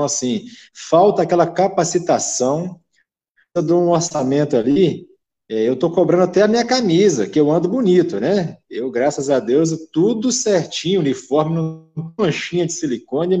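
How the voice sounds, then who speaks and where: A man's voice: a little high, mellow. A man in his thirties, a glazing business owner, talking frankly and chattily on a live stream. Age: 30s. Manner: frank, chatty, many filler "né". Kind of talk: casual live-stream talk.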